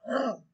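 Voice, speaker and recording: A woman vlogging alone, hoarse and losing her voice, speaking hesitantly and haltingly with many restarts, often dropping to a whisper. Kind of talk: personal vlog monologue.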